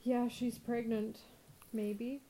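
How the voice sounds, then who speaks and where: A woman's voice: a little high, little pitch movement, slow, mellow, a little hiss or hum, poor audio. A woman in her thirties co-hosting a casual podcast chat, blunt and wry, swearing without fuss. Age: 30s. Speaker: podcast co-host.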